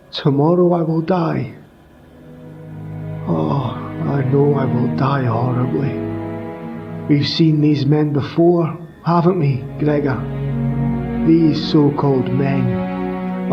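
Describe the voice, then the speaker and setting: A man voicing a despairing captive warrior lamenting his failures in anguish. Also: a little high. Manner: anguished, self-reproaching. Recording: muffled with faint music.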